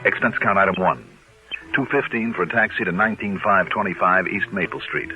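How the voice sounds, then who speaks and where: An American man acting a brisk, dutiful insurance investigator in an old-time radio detective drama, with a clipped, businesslike delivery. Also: low voice, loud music behind the voice, natural voice. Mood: dry narration. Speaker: lead actor in a radio detective series. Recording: dull, noisy old radio recording.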